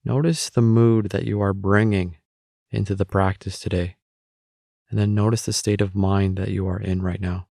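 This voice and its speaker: A man guiding a meditation with calm, measured, gentle spoken instructions. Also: low voice, vocal fry, no room sound, studio quality. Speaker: meditation guide.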